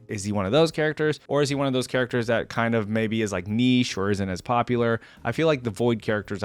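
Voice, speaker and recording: A man's voice: mid-range voice, dry recording. A man hosting a reaction video, chatting casually to his viewers and rambling as he thinks aloud.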